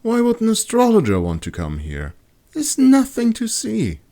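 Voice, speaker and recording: A man's voice: sits high, wildly swooping pitch, normal speed, mellow, voice pushed up, heavily acted. A man voicing a curious, outspoken young woman in a fantasy audiobook, exclaiming and asking questions. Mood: puzzled, blunt.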